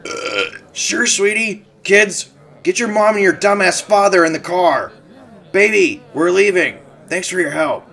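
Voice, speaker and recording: A man's voice: high-pitched, measured pace, gravelly, a bit bright, heavily acted. A man playing a crude, cocky old scientist grandfather who stammers and rants sarcastically in a fan-made cartoon audio drama. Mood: brisk. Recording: music behind the voice.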